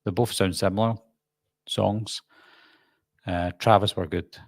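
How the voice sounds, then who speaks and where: A middle-aged man with a Glasgow accent hosts a live stream, reading viewer comments and rambling on with plenty of ums and restarts. His voice sits low with a gravelly edge. The sound is dry and close, with no room around it. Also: steady tone, measured pace, dry recording. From Scotland. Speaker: YouTube host.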